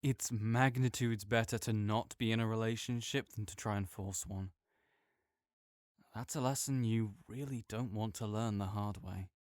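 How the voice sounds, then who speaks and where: A man's voice: fairly low pitch, fairly even intonation, moderate pace, no echo, clean studio sound. A young man in an audio roleplay, talking as a supportive friend in a playful, reassuring way.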